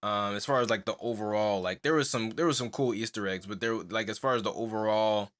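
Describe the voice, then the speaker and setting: A man's voice: fairly low pitch, a little fast, a bit bright. Rambling young man on a podcast. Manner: rambling, full of "like" and restarts, laughs often. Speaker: podcast co-host. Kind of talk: casual chat.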